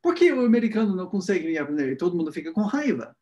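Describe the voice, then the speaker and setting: A man's voice: high voice, very animated, racing, warm tone. A man whose first language is English, an English teacher hosting a casual chat in Portuguese, playfully acting out words and pronunciations.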